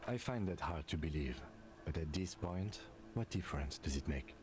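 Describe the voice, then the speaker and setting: An actor voicing a calm, reserved middle-aged police detective in a video game, speaking in a measured, dry, precise way. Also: male voice, extremely low pitch, slight background noise. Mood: composed. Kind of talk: voiced game dialogue.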